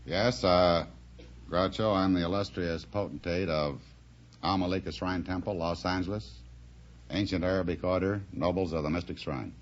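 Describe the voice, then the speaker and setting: An old, muffled radio recording with faint noise carries a very low male voice. He speaks formally, as a contestant answering a quiz host. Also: fairly even intonation, moderate pace. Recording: muffled vintage radio with hiss.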